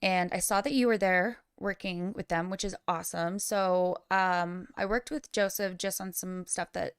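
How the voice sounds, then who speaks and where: A woman's voice: lowish voice, fairly even intonation, normal speed, smooth, no echo, clean studio sound. A woman in her thirties, a rock singer, telling stories in casual livestream chat and laughing often. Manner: laughs often, chatty storyteller. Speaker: rock singer and stream co-host.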